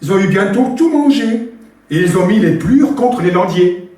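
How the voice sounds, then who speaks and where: Hoarse man telling a folk tale. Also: high-pitched, moderate pace. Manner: lively, animated storytelling. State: hoarse, damaged voice. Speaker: storyteller at an open stage. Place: live open-mic stage in a hall. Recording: very echoey hall from far off, faint guitar.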